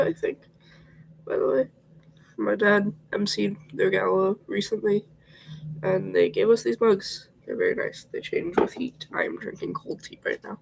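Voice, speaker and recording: A man's voice: sits high, gravelly, a little hiss or hum, poor audio. A sick, congested young man chatting casually while getting ready on a home livestream, rambling and often slipping into a whisper. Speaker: vlogger.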